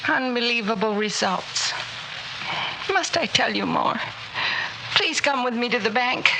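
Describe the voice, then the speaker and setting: A woman's voice: on the high side, animated, measured pace. An actress playing a polite, anxious schoolteacher in a 1960s radio drama, earnest and dramatic, both speaking and recounting her story. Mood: tense recounting. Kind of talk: 1960s radio drama acting, dialogue and narration. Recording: noisy old radio drama recording.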